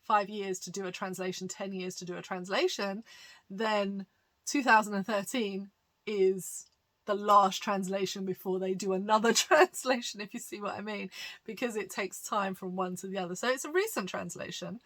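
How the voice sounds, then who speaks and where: A woman pastor speaks to camera in a relaxed, teaching manner, explaining things patiently and filling pauses with "um"s. Her voice has a bright, forward tone at a middle pitch. She laughs lightly now and then as she talks. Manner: chatty and explanatory, many fillers, light laughs. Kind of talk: conversational teaching monologue.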